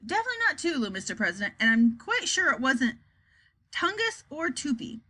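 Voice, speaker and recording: A woman's voice: sits high, sing-song, brisk, bright, forceful tone, exaggerated voice. A woman voicing a nervous, deferential male interpreter in a comic children's story, shaky but insistent.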